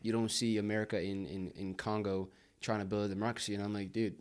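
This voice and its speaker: Young male podcast host raised in Brazil, somewhat low voice, casual, stumbling restarts. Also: flat delivery. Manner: halting, repeats words, upbeat questioning. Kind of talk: casual interview chat.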